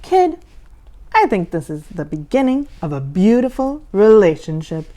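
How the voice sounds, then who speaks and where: A woman's voice: medium pitch, sing-song, unhurried, warm tone. A woman voicing a huge, playful male monster in a children's storybook, menacing yet chummy. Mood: friendly, playful. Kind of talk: children's storybook read-aloud.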